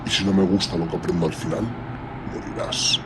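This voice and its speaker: A man's voice: low-pitched, level tone, fairly quick, very noisy, natural voice. A man playing an ancient superhuman warlord in an audio drama, speaking with cold, commanding disdain and menace. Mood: calm, threatening. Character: ancient imperious superhuman warlord primarch, menacing and coldly condescending.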